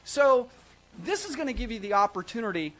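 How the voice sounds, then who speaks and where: A man presents a live studio talk show as its host. He speaks in an upbeat, sales-pitch manner, mixing casual banter with explanation and punctuating his points with short check-in questions. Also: very animated, brisk, bright, forceful tone, rough recording. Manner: upbeat salesman-like, repeated "right?" tags. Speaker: host of a real estate show, realtor. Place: small studio set at a desk.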